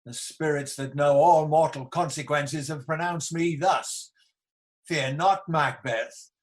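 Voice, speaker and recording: A man's voice: on the high side, steady tone. An amateur actor playing an ageing, embattled tyrant king in a Shakespearean radio play, declamatory, defiant and world-weary. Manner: declamatory, slow, theatrical.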